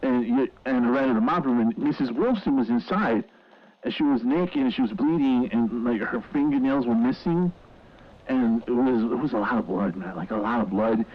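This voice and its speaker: A man's voice: mid-range voice, close to an everyday voice. Man acting shaken witness on lo-fi audio. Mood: shaken, bewildered. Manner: halting, rambling, repeating words. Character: shaken male mall worker recounting a horrific incident. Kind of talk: horror audio drama, informal witness account.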